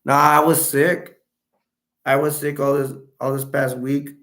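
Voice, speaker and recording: A young man from Texas chatting casually on a live stream, answering viewers in a rambling, halting way with many restarts. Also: mid-range voice, level tone, a bit bright. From Texas, United States.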